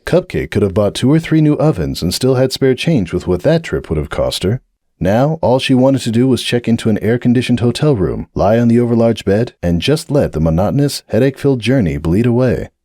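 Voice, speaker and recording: A man narrating a dramatic audiobook reading of a fanfiction story in a measured, expressive way. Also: medium pitch, no room sound, studio quality.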